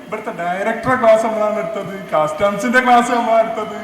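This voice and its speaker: A man's voice: sits high, fast talker, fairly bright tone. A man with a Malabar Malayalam accent playing a boastful, bossy senior air traffic officer on a comedy stage, bragging and stammering over repeated words. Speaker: skit actor. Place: stage in a large hall. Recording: echoey hall, faint noise.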